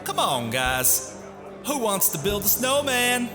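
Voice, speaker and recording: A man's voice: sits high, big pitch swings, takes it slowly, music in the background, exaggerated voice. A man playing a playful, easygoing grandfather in audio drama dialogue. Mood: playful, coaxing.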